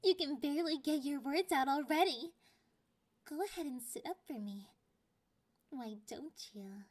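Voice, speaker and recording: A woman voicing a clingy, possessive young catgirl girlfriend in an intimate ASMR roleplay, taunting in drawn-out phrases that slip into breathy whispers. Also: high-pitched, a little mellow. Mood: smug, teasing.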